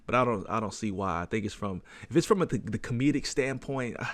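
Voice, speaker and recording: A man's voice: lowish voice, animated, fast, fairly bright tone, no echo. A young man hosting a reaction video, talking casually and rambling as he thinks aloud. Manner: laid-back, rambling, repeats words while thinking. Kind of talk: casual commentary on a played video.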